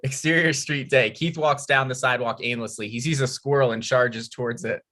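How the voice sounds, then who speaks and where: Bright-voiced man reading stage directions. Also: mid-range voice, steady tone, a little fast. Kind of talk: scripted narration, comedy table read.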